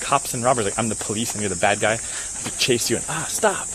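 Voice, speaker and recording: A man's voice: on the low side, quick pace, a bit bright. A young man chatting and bantering casually while walking outdoors. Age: young adult. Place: outdoor wooded park. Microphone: handheld camera at arm's length. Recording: noisy outdoor audio with insects.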